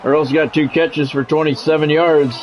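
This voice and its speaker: A man's voice: fairly high pitch, fairly even intonation, moderate pace, soft background music, poor audio. A middle-aged American man streaming a sports video game, mixing casual chat with punchy announcer-style play-by-play. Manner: conversational, switching into punchy announcer-style calls.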